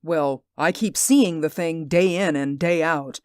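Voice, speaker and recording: A man's voice: a little high, a little slow, clean tone, no echo, studio quality, natural voice. An actor playing an anxious, insistent man who keeps seeing something in a window, in a 1940s radio drama. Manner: earnest and insistent. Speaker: worried neighbor.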